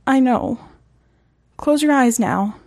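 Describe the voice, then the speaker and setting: Woman as young wolf girl in short story: higher voice, earnest, urgent, defiant yet guilty. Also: lively intonation, slow pace, vocal fry, darkish tone, dry recording, clean studio sound, heavily acted. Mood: sorrowful. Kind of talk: dramatic short story reading.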